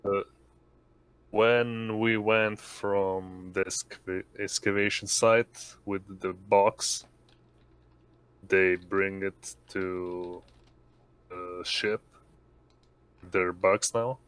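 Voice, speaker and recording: A man playing a tabletop roleplaying game, asking questions in a halting, hesitant way. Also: deep voice, flat delivery, fairly bright tone, clear, resonant tone, no echo. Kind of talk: casual game chat.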